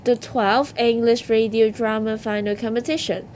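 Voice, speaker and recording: A woman's voice: on the high side, little pitch movement, moderate pace, darkish tone, slight background noise. A woman hosting an awards ceremony, reading scripted announcements.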